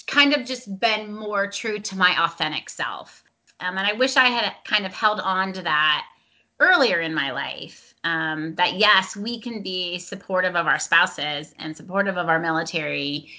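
A woman's voice: on the low side, pushed and bright. A middle-aged woman talking reflectively in a casual conversation, with frequent "um" and "you know". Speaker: guest, veteran spouse advocate. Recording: dull lo-fi sound.

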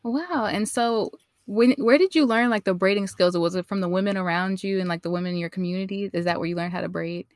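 A woman's voice: mid-range voice, fast talker, few pauses, dry recording, studio quality. A woman hosting a podcast interview, asking questions and affirming her guest often. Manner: warm encouraging listener, frequent affirmations. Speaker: podcast host. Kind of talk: casual interview.

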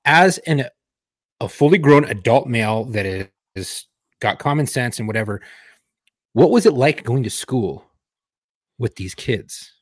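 A man's voice: medium pitch, animated, measured pace, pauses often, no room sound. A man with a mid-range voice talks bluntly on a podcast, joking and swearing heavily. The sound is dry and close to the mic. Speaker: podcast co-host. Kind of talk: casual podcast chat.

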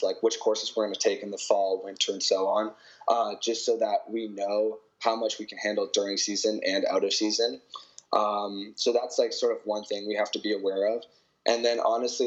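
A young man from Canada, a college volleyball player, answering interview questions in a relaxed way with many fillers. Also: lowish voice, muffled recording. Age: young adult.

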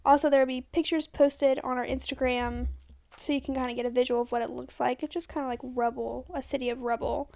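Podcast co-host, woman; high gravelly voice, casual delivery, reads from notes and trips over names. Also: fairly even intonation, fairly quick, warm tone. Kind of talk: casual chat reading history notes.

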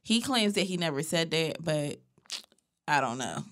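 A woman co-hosting a podcast in casual chat, speaking in a natural monotone, blunt and profane as she lays out a story at length. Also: low-pitched, normal speed. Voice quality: self-described natural monotone. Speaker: podcast co-host.

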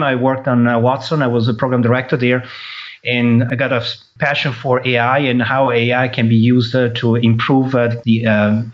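A man, a tech company founder, answering interview questions in a halting way full of "uh" fillers. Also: medium pitch. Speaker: company founder and CTO guest. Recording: dull lo-fi line.

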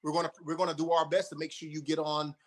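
Guy on a chat show, slightly high voice, encouraging, mentor vibe. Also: level tone, quick pace. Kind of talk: casual chat.

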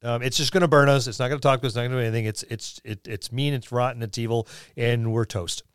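A man's voice: mid-range voice, fairly even intonation, fast, clear, resonant tone, no room sound, clean studio sound. A man co-hosting a gaming podcast as a game master, talking in a casual, conversational way.

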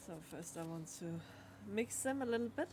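A young adult woman whose first language is Danish, co-hosting a podcast and giving a relaxed card reading in a halting way, with many fillers and pauses. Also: fairly high pitch, slight background noise. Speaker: podcast co-host. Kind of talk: casual chat, card reading.

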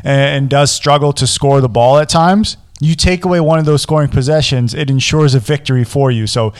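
A young man with a hoarse, worn voice hosts a solo football preview, talking loosely and repeating himself as he builds his points. His pitch sits in the middle for a man, and he gets animated when he makes a point. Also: fairly quick. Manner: animated, repeats words, pumps up with singsong callouts. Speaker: sports podcast host.

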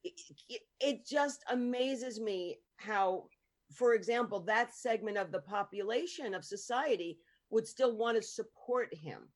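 A woman's voice: on the high side, measured pace. A woman hosting a casual conversation, speaking earnestly and asking questions. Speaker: host.

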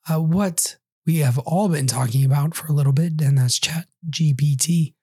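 Middle-aged male podcast host, hoarse from a lingering cold; husky, dark tone; casual wry delivery. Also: fairly high pitch, no room sound. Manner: conversational with wry asides. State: hoarse, recovering from a cold and flu. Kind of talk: solo podcast monologue.

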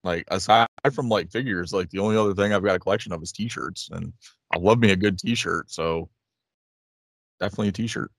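Low-voiced man in his late thirties. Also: a little fast, vocal fry, no echo, clean studio sound. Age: late 30s. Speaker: podcast co-host. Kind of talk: casual chat, reading listener questions.

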